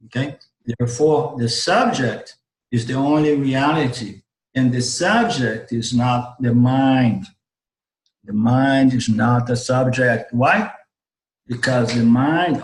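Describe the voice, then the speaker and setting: A man gives an online philosophy lesson in an unhurried, deliberate way, with a strained voice that keeps needing his throat cleared. He circles back and repeats words as he builds each point. The sound comes through a computer mic in an echoey room. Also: medium pitch. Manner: halting, restarts phrases, repeats words while explaining. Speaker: Vedanta teacher. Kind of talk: online class lecture. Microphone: computer mic on a video call.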